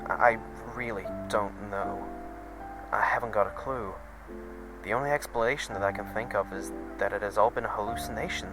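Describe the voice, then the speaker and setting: A man's voice: lowish voice, fairly even intonation, brisk, lightly acted. An actor playing an apologetic, confused young man in an audio drama, speaking hesitantly. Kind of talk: fan audio drama acting. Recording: music under the voice.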